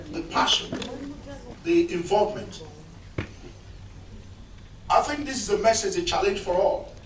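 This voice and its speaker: A man on a film panel, passionately appealing to the audience for support. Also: high-pitched. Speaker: filmmaker on the panel. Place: cinema auditorium. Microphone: point-and-shoot camera across the room. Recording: echoey hall, distant camera mic.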